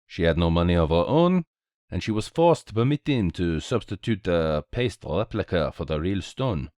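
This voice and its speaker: A man's voice: sits low, expressive, moderate pace, darkish tone, no room sound, clean studio sound. A man voicing a small, cheerful, self-assured detective with a courteous, precise, theatrical manner, in a storybook reading. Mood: knowing, animated.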